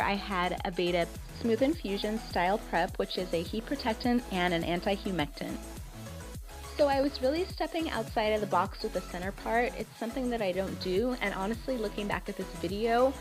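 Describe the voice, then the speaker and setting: A woman talks through a hair tutorial in a relaxed, chatty voice-over, her voice a little raspy and tired from a cold. She sits at an ordinary middle pitch. Music plays clearly beneath her. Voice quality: slightly raspy. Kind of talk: casual voice-over tutorial narration. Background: background music.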